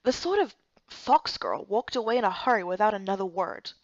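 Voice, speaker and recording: A woman's voice: mid-range voice, animated, brisk, no echo, dull audio. A woman reading scripted first-person story narration for a game playthrough.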